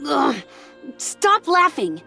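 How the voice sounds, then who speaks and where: A woman's voice: sits high, sing-song, drawn-out words, vocal fry, bright, forceful tone, heavily acted. A woman playing a boastful, competitive little girl in an anime audio drama. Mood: huffy. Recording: music under the voice.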